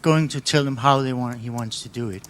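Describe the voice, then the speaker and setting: A man calmly giving his opinion in a seminar discussion, his voice fading at the ends of phrases. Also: medium pitch, brisk. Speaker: seminar participant.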